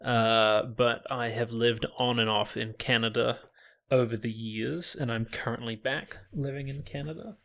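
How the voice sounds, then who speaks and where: A man's voice: mid-range voice, fairly even intonation, measured pace, fairly bright tone. A man from New Zealand, a guest chatting casually about himself, laughing often and restarting his sentences. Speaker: podcast guest, online gamer. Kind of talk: casual chat.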